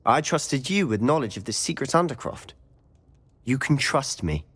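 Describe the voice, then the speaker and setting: An actor playing a keen, self-assured teenage schoolboy wizard in a video game, inquisitive and conspiratorial. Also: male voice, mid-range voice, animated, normal speed, fairly bright tone, slightly rough. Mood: confiding. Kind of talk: video game cutscene dialogue.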